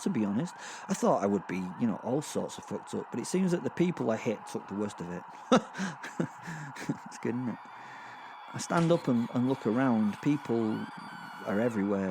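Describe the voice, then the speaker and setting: Over clearly noisy ambience comes a man acting as a male werewolf with a mid-pitched voice and a cruel streak. He narrates horror in a chatty, wandering way, hesitating over his words and treating the grisly with gleeful, offhand understatement. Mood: amused, matter-of-fact, then fascinated, rambling. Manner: conversational, rambling, hesitant, darkly amused understatement. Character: gleeful, sadistic male werewolf killer marvelling at Hell. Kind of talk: first-person horror audio drama narration.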